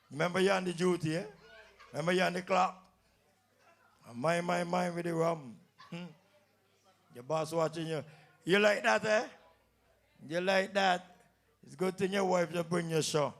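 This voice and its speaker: A man's voice: high-pitched, creaky, a bit bright. A man with a Kittitian accent hosting a live stage show as emcee, teasing audience members directly with playful banter. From St Kitts. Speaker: calypso tent emcee and comedian. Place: live calypso tent stage before an audience.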